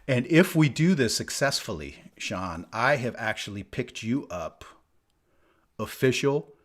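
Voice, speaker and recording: A man's voice: medium pitch, sing-song, a little slow, fairly bright tone. A man co-hosting a podcast in casual, rambling banter, often repeating himself as he goes. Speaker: podcast co-host.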